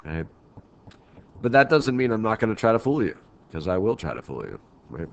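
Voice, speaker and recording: A man's voice: lowish voice, very animated, brisk, slight background noise. A man with a stuffy head cold giving a recorded math lecture in a casual, meandering way with frequent restarts. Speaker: teacher. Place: at home, recording remotely.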